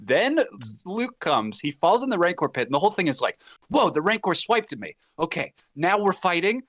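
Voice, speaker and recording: Animated man in casual podcast banter. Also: high voice, normal speed. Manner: animated, acts out mock dialogue mid-sentence. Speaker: podcast co-host or guest. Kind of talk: casual chat, joking pop-culture discussion.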